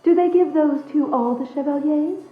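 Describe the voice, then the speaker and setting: A woman voicing a coquettish, flattering seductress in a video game cutscene. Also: sits high, normal speed, lightly acted. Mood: coy admiration. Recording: muffled, echoey, faint music.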